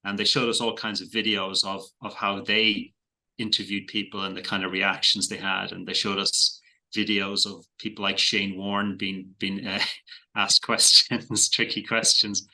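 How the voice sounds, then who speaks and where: Bright-voiced Irish man, lowish pitch. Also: level tone, measured pace, low-quality recording. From Ireland. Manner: thoughtful, repeats words while finding phrasing, light laughs. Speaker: investment guest. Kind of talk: casual interview chat.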